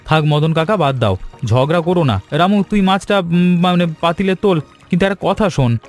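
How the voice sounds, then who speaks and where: A man playing a poor, honest, kind young villager in an animated children's story, gentle and conciliatory in manner. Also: a little high, normal speed, soft background music, lightly acted. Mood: gentle pleading.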